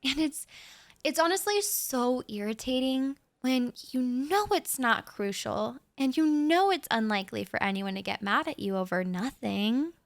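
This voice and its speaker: A young woman in a shy, rambling audio roleplay monologue, talking softly straight to the listener with nervous little laughs. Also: high-pitched, lively intonation, unhurried, no room sound.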